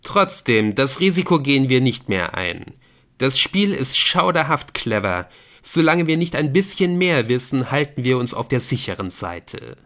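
A man voicing a frank young male gamer among friends, animated and colloquial, in audiobook dialogue. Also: mid-range voice, measured pace, pitched above the performer's own voice. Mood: emphatic.

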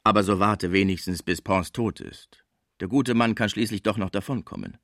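Man playing a brisk, bossy theatre director. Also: fairly low pitch, a bit bright, no echo, clean studio sound. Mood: evasive. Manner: blunt, commanding. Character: brisk, bossy male theatre director, jovial but hard-nosed.

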